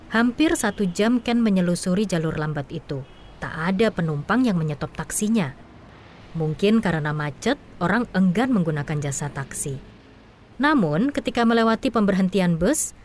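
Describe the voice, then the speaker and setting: A woman narrates a story in an even, measured way, with a mid-range voice. A faint hiss of background noise sits under her narration. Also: lowish voice.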